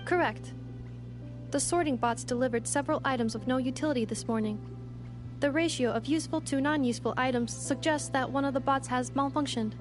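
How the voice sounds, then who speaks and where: A woman voicing a calm, logical female robot companion in a video game, formal, precise and unemotional. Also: sits high, fairly quick, over music, close to an everyday voice. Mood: analytical.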